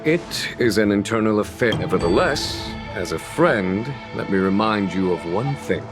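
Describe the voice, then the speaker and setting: An actor playing a calm, shrewd general in a video game, courteous, eloquent and diplomatic. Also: male voice, fairly low pitch, normal speed, over music, natural voice. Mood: calm, knowing. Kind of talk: video game dialogue acting.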